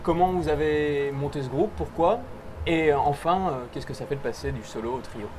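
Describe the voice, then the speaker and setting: A man giving lively music commentary on a live talk show, upbeat and quick to laugh. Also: on the high side, slight background noise. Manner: enthusiastic, laughs easily. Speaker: music columnist. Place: live event on a boat.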